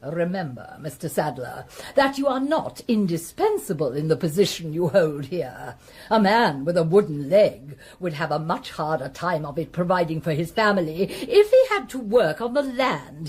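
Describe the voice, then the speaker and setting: A woman's voice: lowish voice, big pitch swings, normal speed, a little mellow, husky. An actress playing an imperious, threatening aristocratic lady in a period radio drama, with an upper-class English accent and a sharply dismissive manner. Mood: coldly threatening. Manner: haughty, commanding, sharply dismissive.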